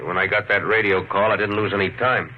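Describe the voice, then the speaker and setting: Wary old-radio cop played by a man, deep, flat, laconic delivery, plain-spoken. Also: a little fast, natural voice. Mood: suspicious. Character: suspicious, folksy male police officer. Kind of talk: 1950s radio thriller acting. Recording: muffled 1950s radio with faint noise.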